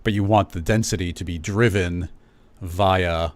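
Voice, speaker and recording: A man hosting a livestream show, chatting in a relaxed way and reading out viewer questions. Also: deep voice, unhurried. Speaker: host.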